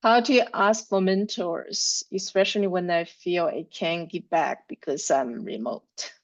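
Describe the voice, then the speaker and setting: Brisk woman reading out webinar questions. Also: lowish voice.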